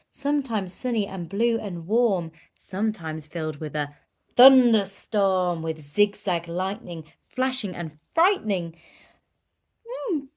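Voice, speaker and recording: A woman reading a children's picture book aloud with dramatic, emphatic storytelling. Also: mid-range voice. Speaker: volunteer story reader.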